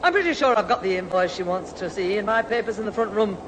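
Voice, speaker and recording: An actress as a brisk, feisty housewife. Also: female voice, mid-range voice, lively intonation, fairly bright tone, close to an everyday voice, poor audio. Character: brisk, feisty middle-aged housewife and mother. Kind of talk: radio sitcom acting. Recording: hissy old radio recording.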